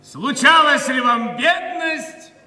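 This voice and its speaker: Echoey, very high-pitched, anguished poor man. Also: lively intonation, slow pace, a little hiss or hum, full character voice. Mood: anguished, impassioned. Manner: declamatory, impassioned, swinging from hushed to shouting. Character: anguished poor man bewailing a starving beggar child. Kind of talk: theatrical dramatic monologue in an audio play. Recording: echoey hall, distant mic, music behind.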